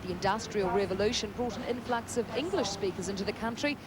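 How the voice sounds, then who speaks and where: A woman's voice: medium pitch, fast talker, a loud crowd. A woman delivering scripted feature narration as a reporter. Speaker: television reporter. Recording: clear street and vehicle noise behind.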